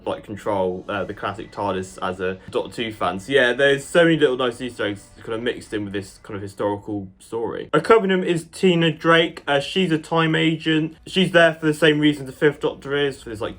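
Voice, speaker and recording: A man's voice: mid-range voice, a bit bright. A man giving a casual, enthusiastic review to his viewers as a channel host, chatty and loosely phrased with lots of "kind of" and "like".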